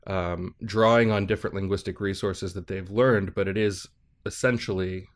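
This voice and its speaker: A man, a professor on a podcast as a guest, explaining ideas in a measured, thoughtful way and checking in with "right?" as he goes. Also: low-pitched, steady tone. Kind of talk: explanatory interview talk.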